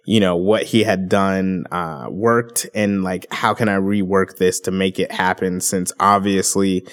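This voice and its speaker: Low-voiced guy musing through his take, kinda skeptical, laid back podcast chat. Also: steady tone, measured pace, a bit bright.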